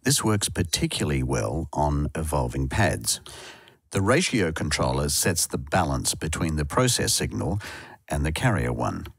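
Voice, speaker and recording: A man narrates a tutorial in a low voice that moves noticeably up and down. The delivery is scripted and instructional, explaining things step by step. The recording is close and very dry, like a studio voice-over. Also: very animated, normal speed, vocal fry, husky, no room sound.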